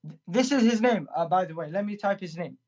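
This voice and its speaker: A man whose first language is Arabic speaks as a caller in a livestream debate, halting and hesitant, stumbling over his words. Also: high-pitched, lively intonation, a little mellow, highs cut off, a little hiss or hum.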